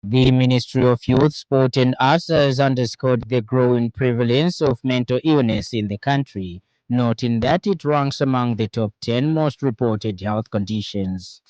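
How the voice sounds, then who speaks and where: A man with a Zambian accent reading a scripted radio news bulletin in a formal, measured way. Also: mid-range voice, darkish tone, no echo, poor audio. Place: radio studio.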